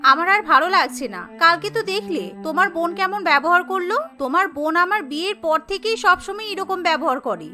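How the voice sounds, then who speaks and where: A woman's voice: very high voice, lively intonation, fast, few pauses, sharp tone, soft background music, exaggerated voice. A woman voicing a polite but resentful young newlywed wife in a cartoon, earnest and complaining. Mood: annoyed. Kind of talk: animated family story dialogue.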